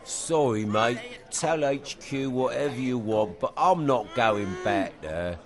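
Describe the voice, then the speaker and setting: A man's voice: medium pitch, expressive, slow, crowd noise. An actor playing a dopey, girl-crazy secret agent in a comic radio play, rambling in a dreamy, laddish way. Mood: casual, smitten. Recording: music behind the voice.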